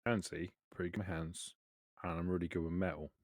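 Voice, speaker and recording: A man's voice: sits low, steady tone, brisk, no echo. A man speaking in character as a tabletop game player, casually and in short, laid-back phrases.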